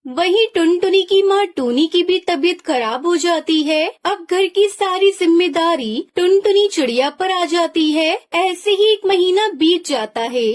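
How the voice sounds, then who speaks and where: Woman narrator for a cartoon story, very high voice, dramatic telling. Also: lively intonation, unhurried, few pauses.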